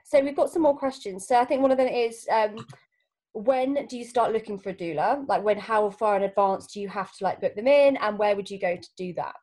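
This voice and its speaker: A woman's voice: medium pitch, a little fast, a little mellow. A woman hosting a podcast, asking questions in a casual, chatty way. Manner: chatty, uses "like" and "um" often. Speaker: podcast host.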